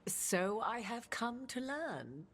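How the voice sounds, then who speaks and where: A woman's voice: a little high, big pitch swings, takes it slowly, a bit bright, heavily acted. A woman playing a regal, cryptic witch and arcane advisor in a video game, with formal, archaic, theatrical speech. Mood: wry, knowing. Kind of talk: video game dramatic acting.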